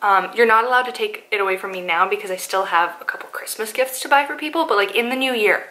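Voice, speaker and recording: A woman in her twenties, a native Polish speaker, chatting casually to a handheld camera in a self-mocking vlog style, voice a little hoarse. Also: mid-range voice, fast, bright, forceful tone, poor audio. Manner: chatty, self-mocking, sprinkled with likes and ums. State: slightly hoarse. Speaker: vlogger. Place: apartment living room, car and store. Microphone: handheld camera close up.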